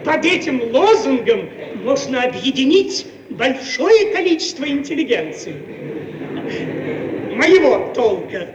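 A self-important Soviet press man of flexible convictions boasts in slow, halting phrases. His high-set voice sounds distant and roomy, with faint noise, as in an old radio play. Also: sing-song, full character voice. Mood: self-justifying. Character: smug opportunist Soviet journalist, bragging man of the intelligentsia. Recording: roomy, distant, noisy archive radio recording.

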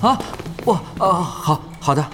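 A man's voice: fairly high pitch, expressive, measured pace, noisy background. An actor playing a nervous young guild leader who stammers in front of his idol. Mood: flustered. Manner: flustered, stammering.

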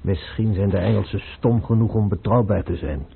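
Krakende, droge samenzweerder. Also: male voice, lowish voice, normal speed, creaky, slight background noise. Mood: dry, disdainful. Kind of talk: Dutch radio play acting. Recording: muffled, band-limited old radio recording.

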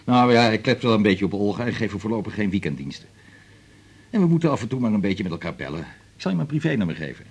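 A male family doctor in a radio drama, talking fast in a low, husky voice. He is practical and reassuring, brisk in manner. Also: animated.

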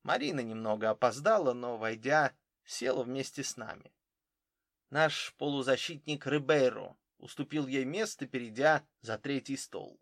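A man's voice: mid-range voice, animated, moderate pace, a bit bright, dry recording, studio quality. A man voicing a careful, literal German footballer in his thirties, giving witness answers in an audiobook. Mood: careful, cooperative. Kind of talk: audiobook character dialogue.

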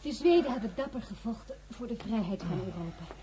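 A woman playing a caring crown princess and gracious hostess in a radio drama, composed, courteous and gently firm. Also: a little high, lively intonation, brisk, background noise, close to an everyday voice. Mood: coaxing.

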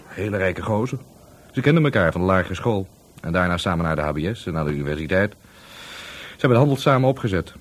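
A man's voice: sits low, animated, quick pace. A man playing a cagey, cheeky market trader in a Dutch radio play, breezy, slangy and evasive. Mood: offhand.

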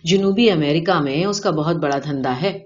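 A woman reading a literary short story aloud as scripted narration. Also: sits low. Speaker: audiobook reader of a short story. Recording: dull, lo-fi sound.

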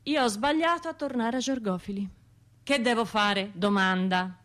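An actress with a Tuscan accent playing a wounded, self-reproaching bombing survivor in an intimate radio drama monologue, halting and colloquial. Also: female voice, a little high, a bit bright, lightly acted. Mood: defensive, troubled. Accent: Tuscan Italian. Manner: halting, colloquial, shifting between murmurs and outbursts.

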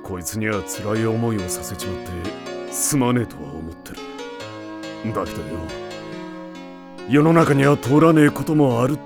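Man voicing a gravelly, gruff anime father. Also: mid-range voice, expressive, moderate pace, long pauses, close to an everyday voice. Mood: gruff, tender. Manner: rough, blunt old-fashioned working-man speech. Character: gruff, warm-hearted middle-aged father, a god king. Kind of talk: Japanese anime audio drama acting. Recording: music playing under the voice.

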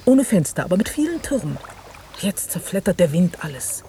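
An actress in a German radio drama playing an intimate, urging, uneasy woman biologist with an expressive delivery. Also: fairly low pitch, moderate pace, a little hiss or hum. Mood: brooding, urging.

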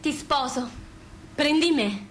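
A woman's voice: sits high, takes it slowly, a little hiss or hum. An actress playing a yearning, pleading woman in a theatrical, lyrical recitation. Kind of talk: theatrical recitation with sung phrases.

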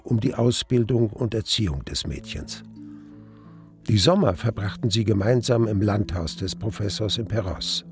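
A brooding male narrator in a radio play, recounting his past in a rather low, creaky voice. Soft music runs behind the storytelling. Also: full character voice. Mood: fond recollection. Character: brooding male narrator recalling his lost youth and love. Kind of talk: scripted first-person radio drama narration.

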